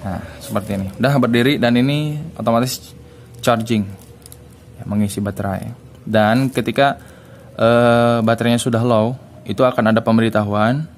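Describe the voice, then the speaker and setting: A man's voice: mid-range voice, fairly even intonation, normal speed. A man giving a casual, explanatory product demonstration as a reviewer.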